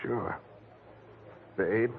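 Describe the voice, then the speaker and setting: Vintage tinny radio sound, touchy American male mobster, clipped, surly, guarded, fairly deep voice. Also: drawn-out words, vocal fry, full character voice. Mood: guarded, curt. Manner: curt, clipped tough talk. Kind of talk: 1940s radio drama acting. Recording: tinny 1940s radio recording, faint noise.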